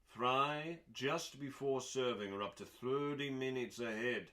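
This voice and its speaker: A man reading recipes aloud as a host, in a dramatic audiobook style with deliberate pauses and emphasis. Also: medium pitch, bright, forceful tone.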